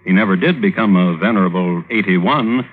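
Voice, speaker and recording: An actor playing a droll crime historian, narrating as host in a wry, deadpan 1950s radio style. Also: male voice, low-pitched, normal speed. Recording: phone-like old radio, music behind.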